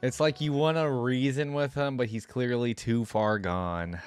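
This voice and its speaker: A man's voice: mid-range voice, steady tone, measured pace, clear, resonant tone, soft background music. A man hosting a reaction video, giving casual commentary.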